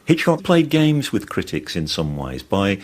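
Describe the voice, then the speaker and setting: A middle-aged man speaks as a lecturer interviewed for a documentary. His voice is extremely deep, and he explains his points in a conversational academic style. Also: huge pitch swings, measured pace, husky. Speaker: university film lecturer.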